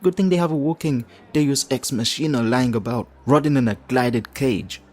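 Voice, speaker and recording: A man playing a flirtatious, theatrical supervillain leader in an audio roleplay, smug and righteous, with teasing, drawn-out phrasing. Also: mid-range voice, a little mellow, close to an everyday voice. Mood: indignant, impassioned. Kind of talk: audio roleplay addressed to the listener.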